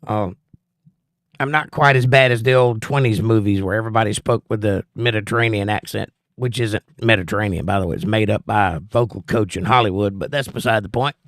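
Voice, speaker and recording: A middle-aged man with a softened Georgia accent hosts a casual livestream, reading viewer comments aloud and answering them in a relaxed, conversational way. His voice sounds strained. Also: on the low side, fairly quick, dry recording, clean studio sound. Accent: softened Southern US (Georgia). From Georgia, USA. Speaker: livestream host reading chat.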